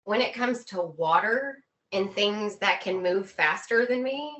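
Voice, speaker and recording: A woman guest on a video call, chatting informally and telling anecdotes in an animated way. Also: medium pitch, a little slow. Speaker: podcast guest. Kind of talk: casual chat, telling travel anecdotes.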